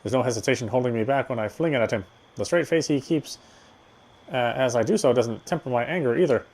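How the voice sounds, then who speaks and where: A man's voice: mid-range voice, quick pace, darkish tone. A man reading visual novel narration aloud, expressively, with occasional stumbles over words. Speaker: game streamer. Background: game music.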